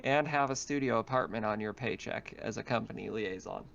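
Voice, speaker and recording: A man's voice: lowish voice, fairly quick, gravelly, a bit bright, slight background noise. A man acting as game master in casual chat, explaining things and joking along. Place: online voice call. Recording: dull lo-fi voice chat.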